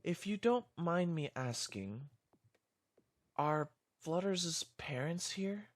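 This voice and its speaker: A performer voicing a polite, apologetic young male pony in an animated fan drama, with a hesitant manner. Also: medium pitch, slow.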